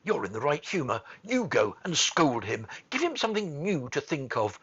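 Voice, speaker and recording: A man's voice: on the high side, very animated, a bit bright, slightly rough, dull audio, full character voice. A man voicing a frightened, flustered children's nurse who speaks urgently and in a hurry in a storybook reading. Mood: eager. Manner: hurried, urgent.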